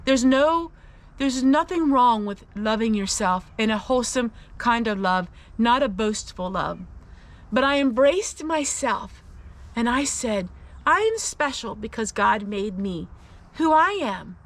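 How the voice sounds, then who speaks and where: Female faith vlogger, raised pitch, very measured pace, earnest and emphatic personal encouragement. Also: animated. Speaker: host of a faith-based personal vlog.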